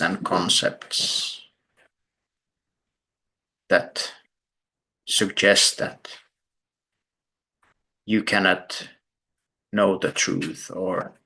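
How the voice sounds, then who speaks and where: Slow, low-pitched man guiding a meditation. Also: creaky, sharp tone, rough recording. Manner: deliberate, measured, pausing between short phrases. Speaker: meditation teacher. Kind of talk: guided meditation, spoken slowly with long pauses.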